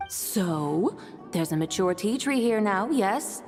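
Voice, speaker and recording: A woman playing a composed, wry immortal woman who speaks formally and measuredly, gently teasing, in an anime game. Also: medium pitch, animated, slow, soft background music. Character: composed, wry female immortal adeptus with sly wisdom.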